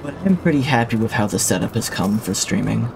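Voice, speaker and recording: A man's voice: lowish voice, expressive, a little fast, vocal fry, music in the background. Rambling man chatting on a game stream. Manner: rambling and reflective, with many restarts. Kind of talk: casual chat while livestreaming a game. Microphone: streaming mic with a limiter.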